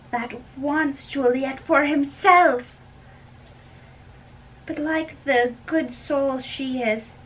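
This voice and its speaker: A woman acting a fussy, chatty old nurse in an amateur dramatic play reading, with drawn-out delivery. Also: sits high, fairly bright tone, pitched above the performer's own voice. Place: small bedroom. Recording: distant mic, room noise.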